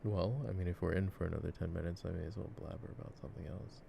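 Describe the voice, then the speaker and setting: A man voices a laid-back gamer boyfriend in an ASMR roleplay. His voice sits low with a crackly fry, and he rambles playfully, mocking himself with mild drama. Mouse clicks and clear noise sit behind him. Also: level tone, racing, warm tone. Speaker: ASMR voice actor. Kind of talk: improvised-sounding ASMR boyfriend roleplay while gaming. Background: mouse clicks and game ambience.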